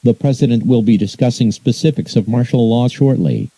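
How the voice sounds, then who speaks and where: A man reading a formal scripted emergency bulletin as an alert announcer, in an impersonal, measured way. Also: medium pitch, mellow, breathy edge, no echo.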